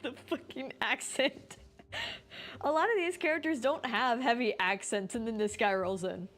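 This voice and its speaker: A woman's voice: fairly high pitch, normal speed, fairly bright tone. A woman streamer giving casual, rambling, informal live commentary on a game. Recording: music behind the voice.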